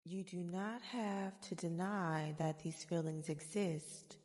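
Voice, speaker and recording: Slow, soft-spoken woman in long reverb. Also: on the low side, fairly even intonation. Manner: soothing, gentle, deliberate phrasing. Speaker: guided meditation host. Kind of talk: soft-spoken ASMR guided meditation narration.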